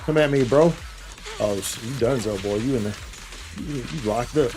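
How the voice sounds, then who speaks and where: A man's voice: mid-range voice, normal speed, darkish tone, soft background music. A man giving casual, slangy live gameplay commentary, repeating phrases and tossing in playful vocal sound effects. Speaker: game livestreamer.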